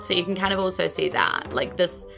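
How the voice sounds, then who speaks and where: A woman's voice: fairly low pitch, a little fast, creaky, music in the background. A woman improvising narration as a game master, casual and a little hesitant as she thinks aloud. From New Zealand.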